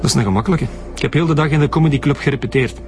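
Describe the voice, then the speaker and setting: Man playing a cynical, high-voiced Flemish comedian. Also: racing, music in the background, lightly acted. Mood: flippant, defiant. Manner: mocking, flippant, defiant. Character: cynical, bitter comedian son under police questioning. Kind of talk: radio drama dialogue.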